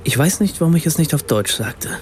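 An actor narrating a radio drama as a self-conscious, ironic German writer, with faint noise behind. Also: male voice, on the high side, expressive, brisk, a little mellow, close to an everyday voice. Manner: ironic, reflective.

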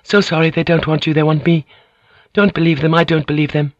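A man's voice: fairly high pitch, flat delivery, a little fast, dry recording, muffled recording, voice pushed up, natural voice. A man voicing a frantic pleading noblewoman. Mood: desperate pleading. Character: desperate noblewoman, young adult, pleading. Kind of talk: audiobook character voice.

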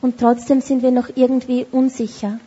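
Woman interpreter, sermon, flat delivery, mellow husky voice, lo-fi recording. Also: high voice, normal speed. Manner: steady, with small self-corrections. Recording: dull lo-fi recording.

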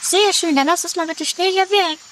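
Female performer as curious, chatty, flighty lady, very high piping voice, old amateur radio play with faint background noise. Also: moderate pace, fairly bright tone. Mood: curious, uneasy. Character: gushing, flighty, curious, easily unsettled lady. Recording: distant, faintly noisy amateur 1980s recording.

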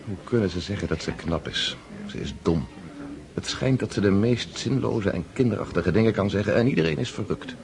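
Low-voiced, brooding aristocratic husband. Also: male voice, lively intonation, a little fast, gravelly, crowd noise. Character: brooding, bitter, estranged aristocratic husband and freemason. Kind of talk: radio drama acting.

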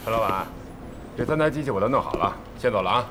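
A man's voice: fairly low pitch, expressive, quick pace, natural voice. An actor playing a busy, polite computer repairman in an audio drama. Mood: brisk, polite. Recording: clear background ambient noise.

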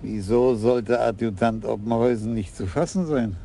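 Actor playing a probing older police chief in a radio play, dark mellow voice, faint hiss. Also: male voice, medium pitch, moderate pace, gravelly, natural voice.

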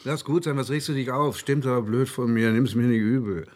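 An actor in a radio drama playing a rattled, sceptical rationalist, weary and blunt in a naturalistic way. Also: male voice, mid-range voice, fairly even intonation, a little fast, a little mellow, natural voice. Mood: placating, firm.

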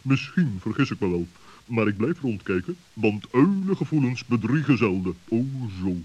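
A stern owl acted out by a man in a children's radio play, mid-pitched and pompous. He is suspicious by nature and muses deliberately, on a dull, muffled recording. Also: big pitch swings, darkish tone, slightly rough. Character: stern, watchful, self-important male owl.

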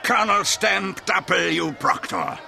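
Brash American thug, red beard, shouting, high wild pitch, crowd noise behind. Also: male voice, wildly swooping pitch, slow, vocal fry, music in the background, heavily acted. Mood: jeering, brash. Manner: shouting, jeering. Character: brawny red-bearded American brute, loud and mocking. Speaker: Colonel Proctor.

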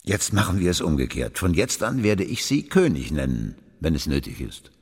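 A stern, cautious royal councillor in a radio drama, very low and husky. He admonishes formally and with measured weight, his pitch moving widely up and down. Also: big pitch swings, full character voice. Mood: solemn, proclaiming. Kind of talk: radio play acting. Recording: echoing hall with long reverb.

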